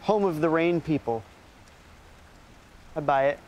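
A man's voice: a little high, unhurried, a little mellow, slight background noise. A man, hosting a documentary with narration and casual interviews. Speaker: documentary host.

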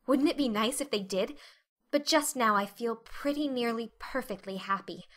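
A chatty, imaginative young girl, played by a woman, high and very bright in tone. She is earnest, effusive and dramatic, as in a storybook reading. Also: normal speed, lightly acted. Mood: eager, happy. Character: talkative, dreamy, dramatic eleven-year-old orphan girl.

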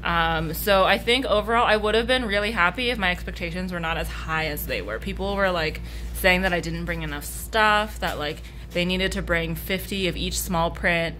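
Young woman artist, tired, slightly hoarse, rambly and casual, laughs between thoughts. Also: lowish voice, bright, forceful tone, slight background noise. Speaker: artist vlogger. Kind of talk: casual vlog chat. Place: hotel room and convention hall.

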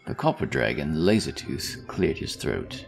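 Deep, expressive man narrating fantasy. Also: unhurried, vocal fry, soft background music.

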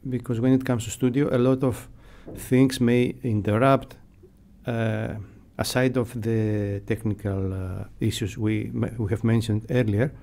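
A middle-aged man talks in a dark, relaxed voice of average pitch. He explains his points in measured, deliberate phrases as an interviewee, close to a studio microphone. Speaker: radio sound technician, interviewee. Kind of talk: unscripted explanatory interview answers. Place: acoustically padded radio studio. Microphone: close broadcast mic.

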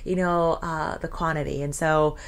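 A woman's voice: deep voice, steady tone, moderate pace. A woman in her thirties talking casually to camera from her living room, earnest and chatty with lots of "you know". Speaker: vlogger. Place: living room with blue couch. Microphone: handheld shotgun mic.

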